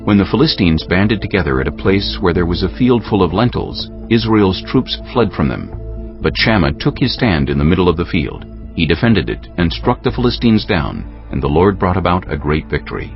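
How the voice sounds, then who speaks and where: A man narrating dramatized scripture as a solemn, measured storyteller. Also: low-pitched, sing-song, muffled recording, soft background music.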